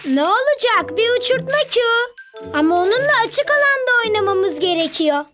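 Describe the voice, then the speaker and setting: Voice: extremely high pitch, very animated, a little fast. A performer voicing an eager, curious little boy in a children's cartoon, with an exclamatory, earnest delivery. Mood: confident. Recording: music behind the voice. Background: cartoon music.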